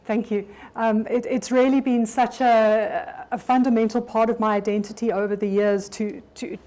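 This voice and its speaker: A middle-aged South African woman speaking at a conference, her voice sitting a little high. She talks in an easy, conversational manner, stopping to restart her sentences now and then. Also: flat delivery, normal speed, warm tone. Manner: conversational, with frequent ums and restarts. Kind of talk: conference presentation. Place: conference room.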